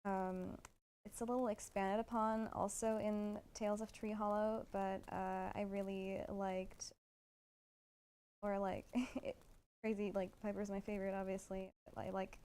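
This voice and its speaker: A woman with a mid-range voice co-hosts a relaxed, casual livestream conversation. She comments appreciatively and somewhat haltingly, piecing her thoughts together as she speaks. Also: mid-range voice, clear, resonant tone. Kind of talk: casual chat.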